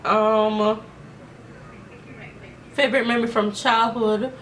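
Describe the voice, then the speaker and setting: A woman's voice: on the high side, little pitch movement, slow pace, fairly bright tone, distant mic. A young woman from Jamaica with a Jamaican accent, answering get-to-know-me questions in a chatty, rambling way. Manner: chatty, rambling, many fillers. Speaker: vlogger. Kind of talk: casual Q&A vlog. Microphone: camera mic.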